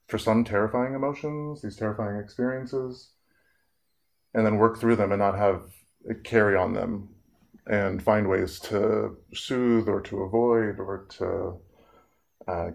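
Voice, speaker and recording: A man speaking as a therapist in an earnest, measured public talk, pausing often and using fillers. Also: lowish voice, fairly even intonation, gravelly.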